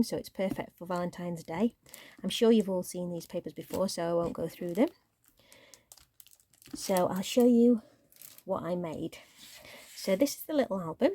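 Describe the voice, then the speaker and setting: A woman hosting a crafting channel, chatting casually through her project, a little croaky and sometimes dropping to a near-whisper. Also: medium pitch. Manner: chatty, relaxed show-and-tell, drops to near-whisper while handling paper. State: croaky voice, clearing throat.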